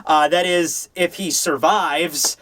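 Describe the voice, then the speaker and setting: A man hosting a manga review, talking in a casual, opinionated, rambling and animated way with casual swearing. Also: on the high side, takes it slowly. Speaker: host of a manga review show.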